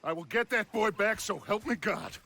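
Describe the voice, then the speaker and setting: An actor playing a grandiose, vengeful outlaw gang leader in an emphatic, oratorical game performance. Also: male voice, high-pitched, normal speed, vocal fry, bright, forceful tone, exaggerated voice. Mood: solemn, resolute. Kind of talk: dramatic video game acting. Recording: outdoor horse hoofbeats and ambience behind.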